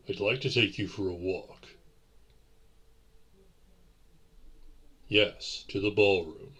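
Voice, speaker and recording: A centuries-old vampire gentleman, acted by a man for a romantic audio roleplay, speaks in a very low, husky voice. He is courtly and brooding, addressing his lover slowly, with formal, old-fashioned phrasing. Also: heavily acted. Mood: courtly, tender.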